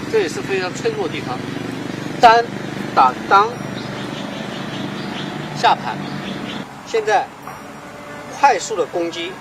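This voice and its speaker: A man demonstrating martial arts self-defense, emphatic and asking rhetorical questions. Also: high-pitched. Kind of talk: demonstration explanation. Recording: outdoor clip with traffic noise.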